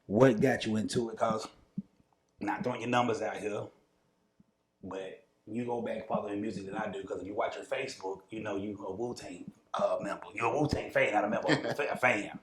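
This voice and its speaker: A man's voice: lowish voice, steady tone, a little fast, noisy background. An American man hosting a casual interview show, asking questions in an informal, slangy, conversational way.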